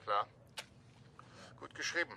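An actor playing a laconic, disillusioned freelance journalist in a German radio play, speaking tersely. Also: male voice, slow pace, bright, forceful tone. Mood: resigned.

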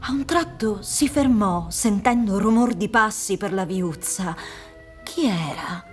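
A woman's voice: medium pitch, a little slow. A woman in her thirties giving scripted literary narration for a radio drama. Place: theatre stage set up for radio recording. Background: music.

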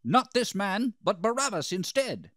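Angry priest in a scripture drama, acted by a male narrator: strident, accusatory shouting, pushed high, dry sound. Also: very animated, unhurried, no room sound, heavily acted. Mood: loud defiance.

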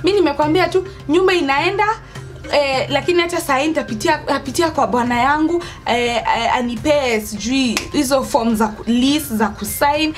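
A woman's voice: high voice, normal speed, faint music behind. A young woman with a Kenyan accent telling a story casually to camera, dramatic and acting out the dialogue as she goes. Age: young adult. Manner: dramatic, acts out dialogue, code-switches into Swahili. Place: indoors by an open doorway at home.

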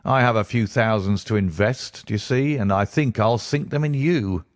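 A man voicing a brusque, bustling gentleman who asks blunt questions in an audiobook. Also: fairly low pitch, moderate pace, no echo, studio quality, voice pushed down, close to an everyday voice. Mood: businesslike. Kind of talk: audiobook character reading.

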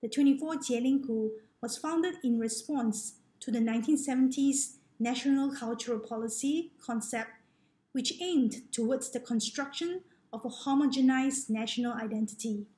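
A middle-aged woman from Malaysia, a researcher who reads her thesis presentation to a webcam in a measured, formal way. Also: sits high, dark tone. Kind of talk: scripted academic presentation. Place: indoor room, on webcam. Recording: echoey room on a laptop mic.

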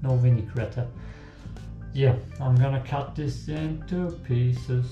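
Slow-talking young man with a German accent. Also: mid-range voice, fairly even intonation, lo-fi sound. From German-speaking country. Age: young adult. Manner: joking, self-talk while working. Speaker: cooking vlogger. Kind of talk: casual cooking vlog. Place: small home kitchen, indoors. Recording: echoey kitchen, faint music.

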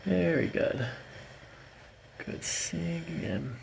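A middle-aged man working as a chiropractor, calmly guiding a patient in short, reassuring phrases in an ASMR style. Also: a little high, very slow, vocal fry, heavy background noise. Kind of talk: calm hands-on ASMR treatment talk, instructing a patient. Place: small carpeted treatment office, indoors. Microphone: microphones on boom stands near the table.

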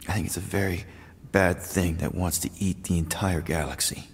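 An actor playing a gentle, awkward man in a video game, speaking haltingly and earnestly to reassure. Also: deep voice, steady tone. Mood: earnest, firm. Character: gentle, awkward man consoling a grieving child. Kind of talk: naturalistic video game cutscene acting. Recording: long reverb, clear background noise.

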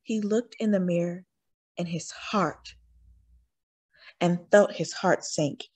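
A woman reading a children's storybook aloud as an expressive narrator. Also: on the low side, takes it slowly, slightly rough. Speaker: storybook reader.

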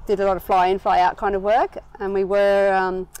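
She talks in an easy, unhurried way, with an Australian accent and plenty of ums, and laughs come easily. She is a woman in her forties, recorded out of doors on a garden verandah. Her voice sits at a middling pitch for a woman. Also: level tone, a little mellow, clear, resonant tone, dry recording, low-quality recording. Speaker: winery owner and winemaker. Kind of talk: casual interview chat.

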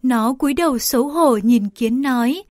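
A woman narrating a scripted children's story in a careful, clearly phrased read-aloud manner. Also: sits high, moderate pace, darkish tone, no room sound, studio quality.

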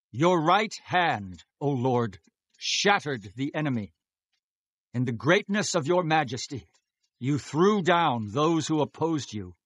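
A man's voice: a little high, a bit bright, no echo, exaggerated voice. A man acting a devout, fervent Israelite in a dramatized scripture reading, speaking in a solemn, declamatory manner.

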